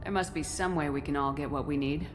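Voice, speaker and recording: A tough commander played by a woman talks in a low, firm voice. She is blunt and determined in game-drama style, with long reverb and music around her. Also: fairly even intonation, quick pace, lightly acted. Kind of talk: video game dramatic acting.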